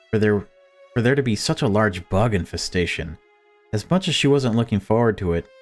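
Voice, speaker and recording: A man with a fairly low voice narrates a visual novel as a let's play host. He reads the story aloud and drops into casual commentary. Faint game music plays behind him.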